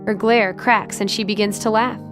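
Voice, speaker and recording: Casting: woman narrator for an audio drama, medium pitch, dramatic scripted read with light music bed. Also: fairly even intonation, moderate pace.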